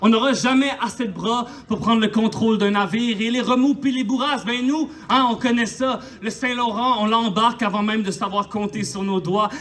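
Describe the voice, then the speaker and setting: A man's voice: high-pitched, fairly bright tone. A man with a Quebec French accent reciting impassioned spoken-word poetry in a colloquial, declamatory way at a public celebration. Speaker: poet. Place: outdoors in a park.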